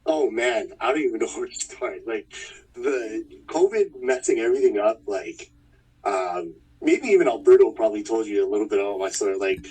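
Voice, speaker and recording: A man in his thirties from Manitoba, a fighter talking about his past in a relaxed, rambling way. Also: lowish voice, moderate pace, breathy edge, far from the mic, low-quality recording. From Winnipeg, Manitoba, Canada. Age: 30s. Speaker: fighter, interview guest. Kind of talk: casual interview chat.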